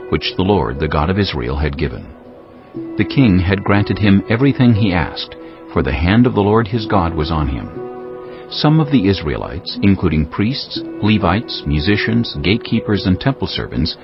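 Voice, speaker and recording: Music behind, muffled recording, deep male narrator, solemn, formal scripture reading.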